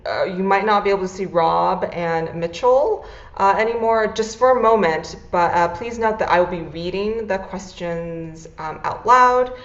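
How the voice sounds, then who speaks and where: A woman's voice: medium pitch, normal speed. A woman moderating an online panel, upbeat and leaning on ums and uhs. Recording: roomy, dull lo-fi call audio.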